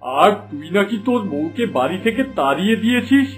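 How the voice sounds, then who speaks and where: A man's voice: high-pitched, big pitch swings, fairly quick, soft background music. An actor voicing a stern, commanding village headman in a cartoon, scolding with authority. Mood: angry, threatening.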